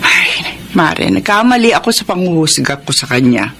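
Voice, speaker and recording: A woman acting a middle-aged, domineering Filipino mother-in-law with a quick temper and a blunt manner, in a radio drama. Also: sits low, big pitch swings, fairly bright tone, slight background noise, full character voice. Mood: softened, approving. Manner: scolding, blunt, exclaiming. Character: hot-tempered, domineering mother-in-law who slowly softens.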